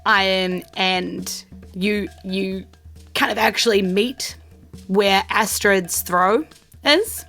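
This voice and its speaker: A woman's voice: mid-range voice, drawn-out words, bright, forceful tone, faint music behind. A woman improvising as game master, conversational and encouraging. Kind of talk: improvised tabletop game narration.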